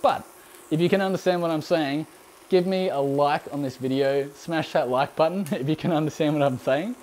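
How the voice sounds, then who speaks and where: Relaxed Australian man, mellow voice. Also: fairly high pitch, moderate pace. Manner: rambling and relaxed, frequent ums, laughs often. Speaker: cooking channel host. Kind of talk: live cooking stream, answering chat questions.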